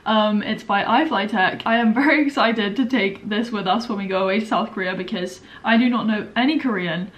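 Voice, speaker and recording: A woman's voice: mid-range voice, brisk, few pauses. A young woman in her twenties with an English accent, chatting casually in a vlog and rambling with lots of fillers. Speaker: vlogger.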